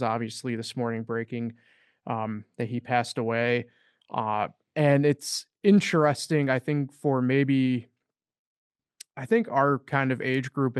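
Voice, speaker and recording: A man's voice: mid-range voice, clean tone, no echo, clean studio sound. A young man co-hosting a sports podcast, talking casually and rambling with lots of fillers. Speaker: podcast co-host.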